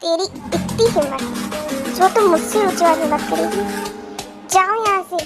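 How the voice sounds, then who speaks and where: Brief: arrogant bossy young man, voiced by a girl, gruff and lowered, bullying tone. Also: normal speed, over loud music. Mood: angry. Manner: scolding, insulting.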